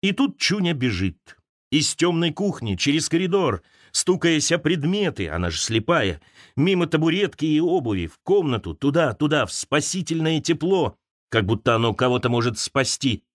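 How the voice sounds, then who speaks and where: Expressive male audiobook narrator, very dry sound. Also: on the high side, moderate pace, no room sound. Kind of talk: expressive literary essay narration. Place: close, dry studio recording.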